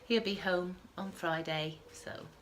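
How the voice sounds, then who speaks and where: Woman host, lowish voice, sniffling with a cold, chatty and self-correcting. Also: slow, fairly bright tone, a little hiss or hum. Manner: chatty, rambling, self-correcting with frequent ums. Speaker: podcast host. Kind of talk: casual knitting podcast chat. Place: at home.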